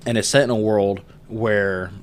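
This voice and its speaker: A man's voice: on the low side, steady tone, measured pace, clean tone. A man hosting a podcast in casual, informal conversation. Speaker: podcast host. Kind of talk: casual chat.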